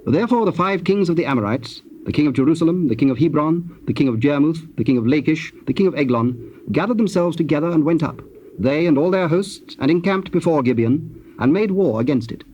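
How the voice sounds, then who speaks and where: A man narrating a scripted, dramatized scripture reading in a solemn, measured manner. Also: fairly high pitch, lively intonation, mellow. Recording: muffled old cassette, faint noise.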